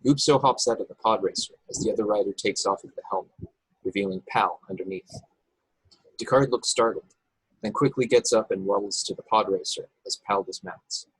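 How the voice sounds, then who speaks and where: Low, husky male narrator, very dry sound. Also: a little fast, no room sound, poor audio. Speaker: narrator reading stage directions. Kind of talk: scripted narration in a live table read. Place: remote video call, dry sound.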